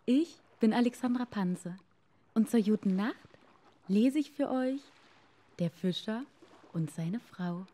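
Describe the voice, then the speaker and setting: Slow-paced woman reading a bedtime tale. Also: mid-range voice, dark tone. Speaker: storyteller, podcast host.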